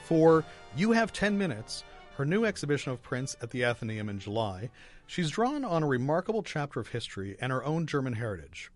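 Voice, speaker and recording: A man's voice: mid-range voice, big pitch swings, a little fast, soft background music. A man reading a scripted introduction to an interview segment as a radio announcer. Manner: clear announcing read.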